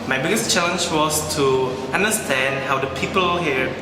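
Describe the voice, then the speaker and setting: Man, hesitant, repeats words, reverberant hall, loud background noise. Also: fairly high pitch, moderate pace. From Czech Republic. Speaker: student.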